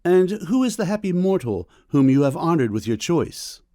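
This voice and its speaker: A stern dry dad in a period audio drama, mellow dark voice, formal n measured, close dry sound. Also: male voice, fairly high pitch, big pitch swings, no room sound. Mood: cool, ironic. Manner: measured, formal, coolly ironic. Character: stern, dry, ironical older father, a doctor. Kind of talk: full-cast audiobook period drama acting.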